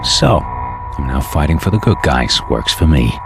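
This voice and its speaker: A man's voice: rumbling low, sing-song, unhurried, exaggerated voice. A man voicing a cynical, world-weary dead gunslinger who narrates his fight in a video game, blunt and sardonic. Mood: grim resolve. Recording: music behind the voice.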